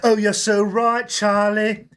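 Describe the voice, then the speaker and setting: A man's voice: high voice, level tone, slow, fairly bright tone, clear, resonant tone, pitched above the performer's own voice. A man voicing a boisterous, teasing young barmaid in an audiobook. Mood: amused, cheeky. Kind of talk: audiobook character acting.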